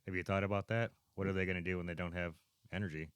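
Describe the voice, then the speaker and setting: A man's voice: low voice, steady tone, quick pace, fairly bright tone, clear, resonant tone, dry recording. An American man co-hosting a podcast, talking casually in political banter. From United States. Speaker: podcast co-host.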